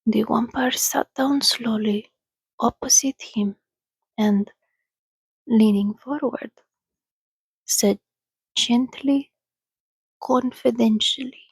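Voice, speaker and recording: A woman speaking in a hushed, soothing, often whispered ASMR style, as if calming a companion. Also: a little high, takes it slowly, gravelly, long pauses, breathy edge, dry recording, poor audio. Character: calm, sociable female vampire soothing a companion. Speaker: ASMR host. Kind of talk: soft-spoken ASMR reading and roleplay.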